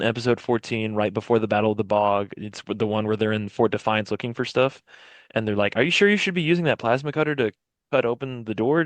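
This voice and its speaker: A man's voice: lowish voice, no room sound. A man who voices drama characters, talking casually about his favorite moments. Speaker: voice actor on an audio drama. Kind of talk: casual chat, reminiscing.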